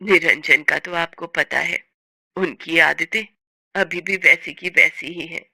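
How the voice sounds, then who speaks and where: Woman as anxious ailing mother, storybook drama, weary, plaintive, bright voice, dry sound. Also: low voice, slow pace, vocal fry, no room sound, pitched below the performer's own voice, exaggerated voice. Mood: weary worry. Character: ailing, worried poor mother of a marriageable daughter. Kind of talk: family drama story acting.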